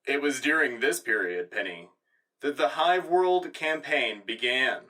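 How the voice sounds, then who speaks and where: Guy doing earnest wartime propaganda announcer, formal, bright punchy voice, slightly distant, radio drama. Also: medium pitch, lively intonation, measured pace. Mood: grave, official. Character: earnest, patriotic male propaganda news anchor. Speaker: radio co-host. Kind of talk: scripted in-world news broadcast.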